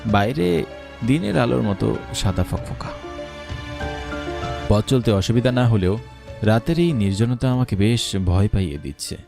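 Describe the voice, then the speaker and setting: Male narrator, lowish voice, dramatic scripted horror storytelling, music and night insects behind. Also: animated, moderate pace, vocal fry, pauses often.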